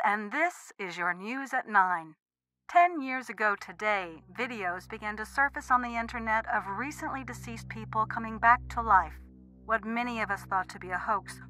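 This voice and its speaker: An actress plays a polished female TV news anchor in a radio drama. Her voice is bright and forward. She reads in a composed, sombre broadcast manner over music. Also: mid-range voice, natural voice, poor audio.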